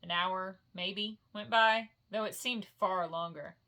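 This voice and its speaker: Female storyteller: bright voice, expressive fantasy narration, homely and informal, like a bedtime read-aloud. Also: mid-range voice, slow. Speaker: storyteller reading a novel aloud.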